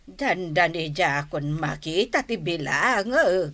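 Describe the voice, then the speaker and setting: A woman's voice: on the low side, takes it slowly, a bit bright. A woman playing a frail, talkative old grandmother with a Filipino accent in a comedy radio drama. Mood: chatty, grateful. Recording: noisy radio drama recording.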